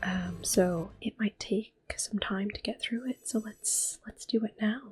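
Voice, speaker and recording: A woman giving soft-spoken, mostly whispered ASMR commentary on a video game in a gentle, unhurried manner. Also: mid-range voice, fairly even intonation, husky. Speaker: ASMR gameplay host.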